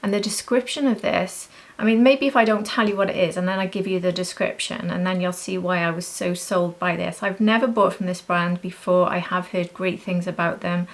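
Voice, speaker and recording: A woman's voice: lowish voice, fairly even intonation, fairly quick. A woman chatting casually as a beauty vlogger reviewing products, gushing and repeating words for emphasis.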